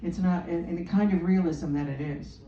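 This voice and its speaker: A woman moderating a question-and-answer session after a staged reading, speaking briskly in short fragments. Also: lowish voice, slight background noise. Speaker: moderator of post-show Q&A. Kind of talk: casual Q&A discussion. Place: theater auditorium. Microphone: handheld mic from afar. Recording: echoey, distant, dull room recording.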